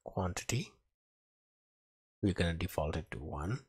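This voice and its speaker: Very deep, slow-talking man teaching coding. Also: animated, breathy edge, no echo, clean studio sound. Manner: halting, talks while typing. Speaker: programming instructor. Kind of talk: screen-recorded coding tutorial narration.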